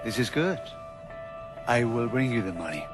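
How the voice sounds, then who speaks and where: An actor playing a cold, controlling Italian drug supplier in a video game, with an Italian accent and formal, deliberate speech. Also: male voice, medium pitch, big pitch swings, loud music behind the voice, natural voice, low-quality recording. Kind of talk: video game cutscene acting.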